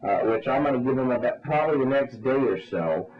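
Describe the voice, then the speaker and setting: A man's voice: medium pitch, expressive, fast. A man being interviewed by phone as a radio ministry spokesman, speaking in an insistent, repetitive, fervently preaching way. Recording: muffled, band-limited radio phone interview.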